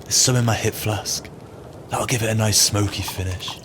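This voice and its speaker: An actor playing a cold, calculating swindler with a wry, scheming manner in an audio play. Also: male voice, fairly low pitch, fairly even intonation, normal speed, noisy background, close to an everyday voice. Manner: wry, scheming, colourful phrasing. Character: cold, calculating male swindler plotting murder.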